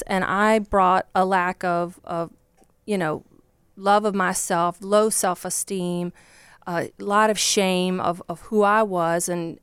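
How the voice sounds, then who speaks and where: A woman with a Southern accent from Virginia speaks as a divorce coach, earnest and passionate, running long explanations together. Her voice is smooth and resonant. The sound is close and dry, with no room echo. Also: on the low side. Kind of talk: podcast guest discussion.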